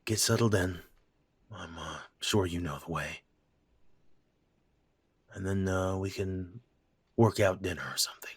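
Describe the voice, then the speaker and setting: A man voicing a gruff, stubborn middle-aged father with a raspy voice, blunt and scolding, trailing into hesitant pauses. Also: deep voice, steady tone, no room sound. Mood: subdued. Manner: blunt, scolding, softening into hesitant halting speech. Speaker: voice actor. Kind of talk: audio drama acting.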